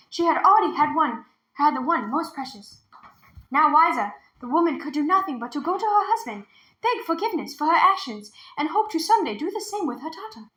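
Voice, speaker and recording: A woman's voice: high voice, fairly bright tone, reverberant, poor audio. A woman reading a storybook aloud expressively as a storytime narrator. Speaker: storytime reader.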